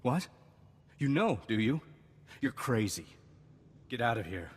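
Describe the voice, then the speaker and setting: An actor playing a defensive, treacherous nobleman with a husky voice in a video game. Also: medium pitch, big pitch swings, a little slow, big-room sound. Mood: indignant. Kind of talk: video game cutscene dialogue.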